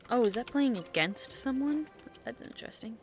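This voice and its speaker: A woman's voice: a little high, expressive, normal speed. A woman streaming a game, thinking aloud in short, casual, reactive remarks as she plays. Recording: game music behind voice. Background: video game music.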